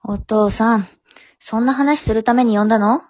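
Teen daughter, played by a woman, frank, gently chiding, matter-of-fact; dry, slightly lo-fi radio drama sound. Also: a little high, normal speed, no echo, close to an everyday voice. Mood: pointed. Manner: matter-of-fact, teasing, plain-spoken. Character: frank, forgiving teenage high-school daughter, gently chiding. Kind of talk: amateur short radio drama acting.

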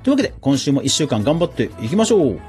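A man's voice: on the high side, sing-song, rapid-fire, darkish tone, soft background music. A man hosting a podcast news round-up in a casual, chatty way, with informal asides and little interjections of agreement. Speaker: podcast host.

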